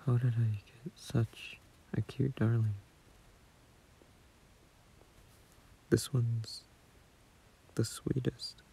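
A man playing a gentle, shy, doting young boyfriend in a sleep-aid audio roleplay, murmuring softly and hesitantly close to a phone mic. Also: medium pitch, flat delivery, slow pace, heavily acted, low-quality recording. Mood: tender, adoring. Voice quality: husky, soft, breathy murmur.

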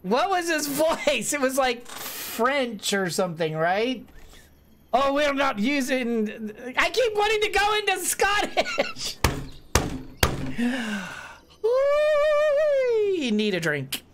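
A man streaming a game from his home desk mic, laughing at himself as he fumbles through the lines. His voice is high and bouncy, and it swings a lot. He talks slowly and loosely. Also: huge pitch swings, a bit bright, slight background noise. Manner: giggly, self-mocking, breaks into laughter. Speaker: let's-play streamer. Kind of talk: live-streamed game playthrough, reading the dialogue aloud.

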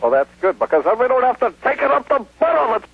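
A man talking casually and haltingly in a discussion, with many small pauses. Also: extremely high pitch, big pitch swings, vocal fry, slightly rough, dry recording. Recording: narrow, phone-like old broadcast sound.

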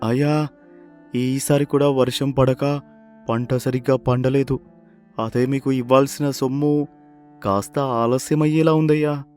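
A man's voice: medium pitch, steady tone, measured pace, long pauses, a little mellow, clean tone, faint music behind, natural voice. A man voicing a humble, emphatic village farmer. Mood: apologetic. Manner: deferential, emphatic. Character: humble, pleading poor male village farmer. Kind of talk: animated moral story character acting.

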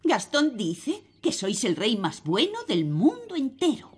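A woman with a Castilian Spanish accent voicing a matter-of-fact, deliberate fairy nanny in a cartoon dub. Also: on the high side, huge pitch swings, a little mellow, a little hiss or hum. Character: matter-of-fact adult fairy nanny, relaying messages brightly.

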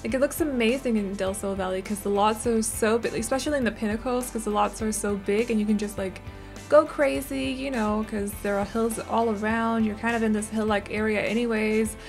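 A young woman narrating a game building video in a chatty, casual voiceover, her voice a little rough and strained, often correcting herself and giggling. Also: a little high, fairly even intonation, brisk, soft background music.

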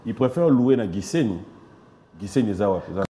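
A man's voice: fairly low pitch, animated, fast, dark tone, a little hiss or hum. A middle-aged man from Congo hosting a studio interview in French and Lingala, pressing his guest with questions. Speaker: host. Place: TV studio set.

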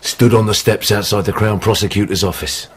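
An actor playing a talkative, shabby innkeeper in a radio drama, gossipy and ingratiating. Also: male voice, lowish voice, fairly even intonation, fairly quick, slightly rough. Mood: mournful gossiping.

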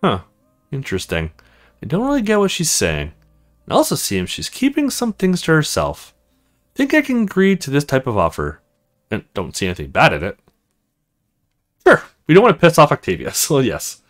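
A man's voice: a little high, huge pitch swings, normal speed, pauses often, fairly bright tone. A man voicing an awkward young male recruit in a visual novel, hesitant and grumbling to himself.

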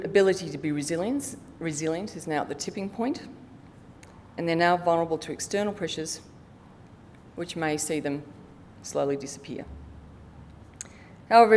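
A middle-aged woman with a fairly low voice presents formally from a lectern. She reads prepared material in a measured way, with the odd stumble. The hall adds a long reverberant tail, and a faint rumble sits behind her. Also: a little mellow. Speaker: conference presenter, researcher. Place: conference hall stage, indoors. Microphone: lectern microphone.

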